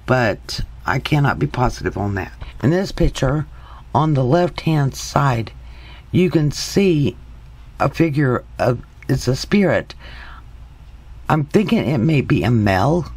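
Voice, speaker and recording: A man narrating over a series of photos as a paranormal investigator, in a slow, halting way with pauses and restarts. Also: mid-range voice, darkish tone, slightly rough.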